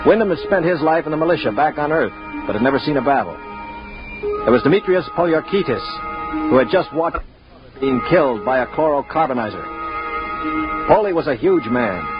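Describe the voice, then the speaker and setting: An actor playing a cynical, world-weary former space pilot in a 1950s radio drama, speaking in a dry, authoritative, matter-of-fact way. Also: male voice, fairly high pitch, expressive, moderate pace, pauses often, close to an everyday voice. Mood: grave narration. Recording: dull 1950s radio broadcast with music.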